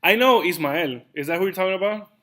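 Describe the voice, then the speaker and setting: An upbeat male host leads a relaxed interview, reacting with enthusiasm and quick laughter. His voice is bright and sits a little high for a man. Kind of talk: casual interview conversation.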